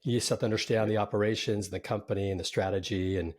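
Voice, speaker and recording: A man's voice: lowish voice, fairly even intonation, fast talker, darkish tone. A man hosting a startup podcast, interviewing a founder in an upbeat, conversational way. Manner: upbeat, scripted intro then conversational. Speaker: podcast host.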